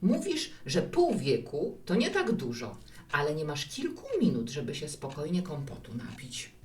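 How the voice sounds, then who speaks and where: A hospitable, musing woman who runs a bar, played by an actress in a radio play. Her voice is fairly low and very animated in its melody, recorded in a roomy space at some distance from the mic. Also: moderate pace. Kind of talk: Polish radio play dialogue.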